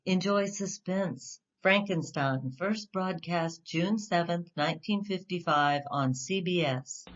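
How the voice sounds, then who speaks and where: Lower-pitched woman hosting, slightly dull sound. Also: takes it slowly, a little hiss or hum. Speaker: radio show host. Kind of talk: scripted introduction.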